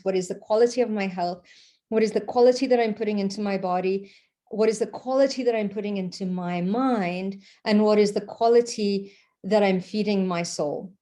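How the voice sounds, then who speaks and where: Mellow woman. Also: medium pitch. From Portugal. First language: Portuguese. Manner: checks in with a frequent questioning "okay?"; loose and rambling, with fillers and restarts. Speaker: Reiki practitioner. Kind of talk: reflective spiritual talk.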